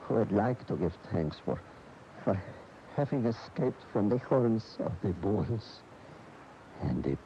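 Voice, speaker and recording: An actor playing a haunted former bullfighter turned priest in a radio drama, earnest and halting in his speech. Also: fairly low pitch, very animated, slight background noise. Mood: hesitant. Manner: halting, stammering, brooding. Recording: dull 1980 AM radio recording, music behind.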